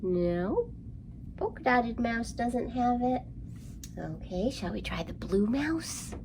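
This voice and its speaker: A woman's voice: a little high, very animated, slow pace, noisy background. A woman hosting an interactive storytime for young children, speaking in a sing-song way and putting questions to her listeners.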